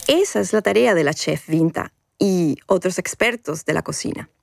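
A woman narrating a scripted documentary podcast as its host, with a measured, formal delivery. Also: on the low side, gravelly.

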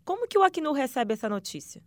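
Woman radio host asking polite formal questions, kinda high voice, dry studio mic. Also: very animated, quick pace, no echo. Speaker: radio interviewer. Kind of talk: radio interview.

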